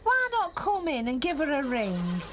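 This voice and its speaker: A woman's voice: high-pitched, huge pitch swings, unhurried, noisy background, heavily acted. A woman voicing a hospitable, fussing farmer's wife in a children's cartoon. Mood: concerned. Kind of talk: children's cartoon character acting.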